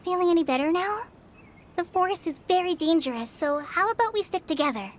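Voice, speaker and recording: A woman voicing an earnest, kindly young trainee forest ranger in an anime game, gentle and reassuring. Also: very high-pitched, animated, brisk, a little hiss or hum. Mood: helpful. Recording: music under the voice.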